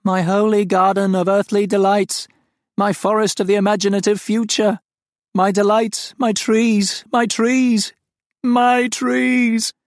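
A shrill, wailing alien creature, put on by a male audiobook narrator. It is a many-legged living farm, high and strained far above the reader's own voice, with an odd, otherworldly timbre. It talks in dry, close, deadened sound, pleading and melodramatic. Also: little pitch movement, takes it slowly, gravelly, dry recording, heavily acted. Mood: anguished.

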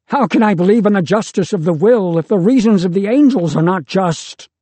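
A man doing a hoarse, wounded young man. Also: high-pitched, animated, a little fast, warm tone, dry recording, pitched above the performer's own voice. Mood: shouting. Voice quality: hoarse rasp. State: in pain.

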